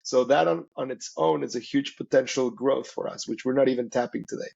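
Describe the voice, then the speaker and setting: A man's voice: medium pitch, a little fast, gravelly, darkish tone, slightly rough, dull audio. A man from Mexico, a native Spanish speaker, explaining conversationally as an interview guest, often checking in with "right?". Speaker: interview guest, company founder.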